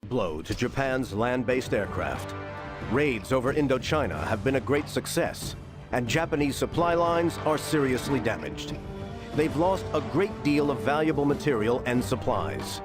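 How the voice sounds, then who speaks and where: An actor playing a grave, resolute military officer delivering a scripted war briefing. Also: male voice, mid-range voice, lively intonation, moderate pace, lightly acted. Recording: voice over loud music. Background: loud music.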